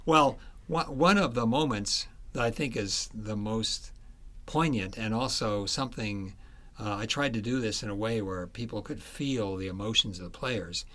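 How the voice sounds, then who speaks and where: Low-voiced man, halting author interview. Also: a bit bright. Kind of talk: conversational interview, explaining.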